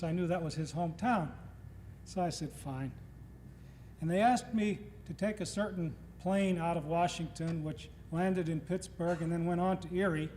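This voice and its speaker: A man's voice: on the high side, mellow. A middle-aged man from Tennessee giving a humorous lecture, telling stories and acting out what others said. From Nashville, Tennessee. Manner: storytelling, acting out quoted speakers. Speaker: guest lecturer. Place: lecture hall before an audience. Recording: reverberant hall, faint noise, old tape.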